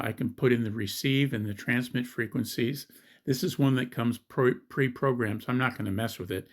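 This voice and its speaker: A man's voice: on the low side, creaky. A man giving a step-by-step product review and app demonstration as a gadget reviewer.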